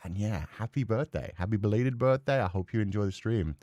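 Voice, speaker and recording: A man livestreaming a horror game, chatting casually and excitably and swearing freely. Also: on the low side, fairly quick, dry recording, studio quality. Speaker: game streamer.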